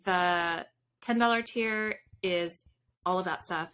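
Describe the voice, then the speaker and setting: A woman's voice: on the low side, slow pace, a bit bright, clear, resonant tone. A woman in her thirties chatting casually as an interview guest on a video call. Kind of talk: casual chat. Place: indoors in a room with bookshelves, on a video call.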